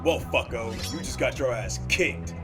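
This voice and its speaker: A man's voice: mid-range voice, big pitch swings, moderate pace, lightly acted. A man playing a cocky, threatening street thug in a comic dub, aggressive in manner. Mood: gloating. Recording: muddy, with music behind.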